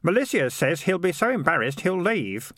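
A mild, earnest young man, eager and a little anxious, pitched well above a plain man's voice, as a male storyteller puts him on. He talks plainly and sincerely in a storybook reading. The recording is close and dry. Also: big pitch swings, moderate pace, fairly bright tone, no room sound, heavily acted. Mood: earnest, worried.

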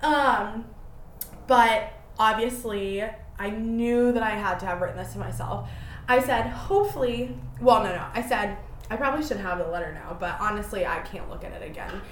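A woman's voice: mid-range voice, normal speed, slight background noise. Chatty self-deprecating woman in an echoey room. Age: 20s. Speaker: podcast host. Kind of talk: casual solo podcast chat.

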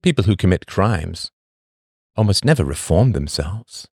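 A man hosting a podcast, reading a scripted monologue full of rhetorical questions and emphatic pauses. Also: deep voice, expressive, a little mellow, breathy edge, no room sound, clean studio sound. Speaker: podcast host.

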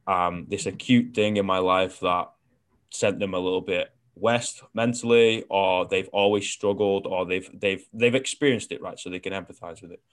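A man's voice: deep voice, fairly even intonation, moderate pace. A young man hosting a casual interview, talking frankly and rambling with lots of fillers and the odd swear word. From outside New Zealand. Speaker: podcast host.

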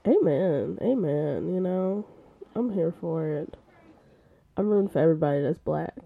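A woman co-hosting a casual podcast chat, congested and stuffy, playful and quick to laugh. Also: low-pitched, creaky, phone-quality sound. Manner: laughing, playful, animated asides. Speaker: podcast co-host.

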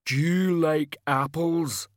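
A man voicing a huge, slow, simple-minded male thug who speaks in a childlike singsong in an audiobook. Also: on the high side, no room sound, studio quality, voice pushed up. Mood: menacing.

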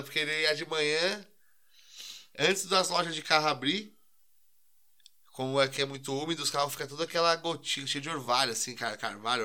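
A man's voice: on the high side, sharp tone. A young man, co-hosting a podcast and telling stories in a slangy, rambling way. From Brazil. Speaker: podcast co-host. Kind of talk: casual chat.

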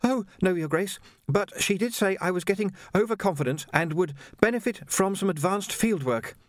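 A high, lively young woman of deferential, eager politeness, as a male narrator puts her on in a storybook reading. She is a trainee assassin, earnest and proper. Her voice leaps about with sprightly animation. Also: normal speed, no echo, clean studio sound, natural voice. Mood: earnest, polite. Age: young adult.

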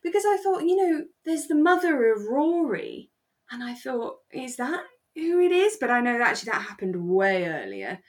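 A woman's voice: sits high, very animated, moderate pace. A woman with a British accent chatting casually and reflectively as a podcast co-host. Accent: British English. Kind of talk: casual chat, discussing a radio drama.

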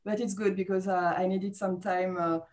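A woman's voice: medium pitch, flat delivery, normal speed, darkish tone. French-accented woman chatting as a guest. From France. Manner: chatty, laughs often, many fillers. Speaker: podcast guest. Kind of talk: casual interview chat.

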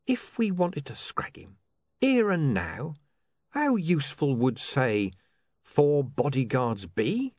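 A man voicing a smooth, cynical criminal schemer in an audiobook, sardonic and condescending in his exasperation. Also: mid-range voice, big pitch swings, takes it slowly, a little mellow, no room sound, voice pushed up. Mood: smooth cynicism. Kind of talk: audiobook character voice.

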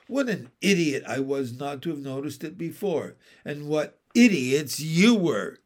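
A man's voice: fairly high pitch, expressive, measured pace. A man voicing a pompous, impatient and self-satisfied storybook king with a magnificent, commanding manner. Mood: excited, exasperated. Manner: magnificent, commanding, easily irritated.

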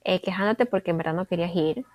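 A woman's voice: fairly low pitch, fast talker, darkish tone, dry recording. Young woman, dry close recording. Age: 20s. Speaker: podcast co-host. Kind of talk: casual chat.

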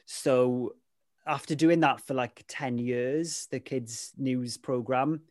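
Welsh man, native welsh speaker, mid-pitched voice, dry close mic, friendly rambling guest. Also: fairly even intonation, takes it slowly, a little mellow, dry recording. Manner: rambling, frequent "you know" fillers. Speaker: interview guest, weather presenter. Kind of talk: casual conversational interview.

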